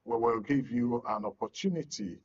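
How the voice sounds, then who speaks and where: A Nigerian man hosting a live broadcast discussion, with a halting, deliberate delivery. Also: medium pitch, very noisy. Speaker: host of a live discussion programme. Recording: dull, lo-fi broadcast sound.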